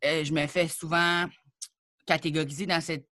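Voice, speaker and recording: A young Acadian woman talks in a relaxed, chatty way, jumping into quick asides. Her voice is bright and forward. It sounds dry and close, with almost no room echo. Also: deep voice. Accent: Acadian French. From New Brunswick, Canada. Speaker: comedian and rapper, guest. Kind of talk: casual interview chat.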